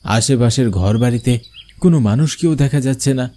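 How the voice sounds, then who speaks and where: A man playing a travelling bioscope showman, narrating an eerie cartoon story in the first person with expressive delivery. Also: medium pitch, moderate pace, lightly acted. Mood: storytelling. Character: travelling village bioscope showman telling his own eerie tale.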